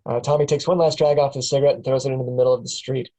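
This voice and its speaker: A man reading the stage directions aloud at a script table reading, in a plain, steady way. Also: mid-range voice, rapid-fire, poor audio. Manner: plain, steady, chuckles at times.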